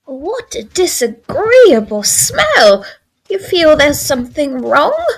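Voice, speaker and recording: An actress playing an anxious but stubborn mother in a period ghost-story audio drama, with a deliberate, theatrical manner. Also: sits high, big pitch swings, full character voice, poor audio. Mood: disgusted. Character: anxious but stubborn old-fashioned mother of grown son.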